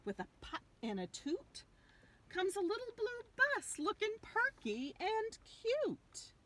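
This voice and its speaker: Expressive, unhurried woman reading to children. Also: very high-pitched. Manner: dramatic, drawn-out emphasis on key words. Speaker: storyteller reading a picture book aloud. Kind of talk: expressive read-aloud for young children.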